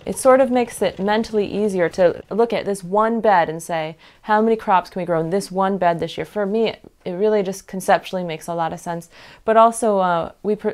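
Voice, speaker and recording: A woman's voice: fairly low pitch, a little mellow, smooth. A woman in her thirties, a farmer with an American accent, earnestly explaining her farm's methods in a documentary interview recorded indoors on a lapel mic. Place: living room with bookshelves, indoors.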